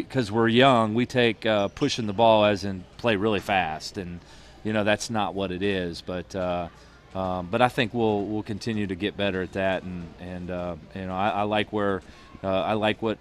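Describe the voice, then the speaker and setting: A middle-aged man with a low voice talks through a postgame interview as a basketball coach. He speaks into a headset mic in a small studio booth, with a faint hum behind him. His talk rambles, full of restarts and fillers.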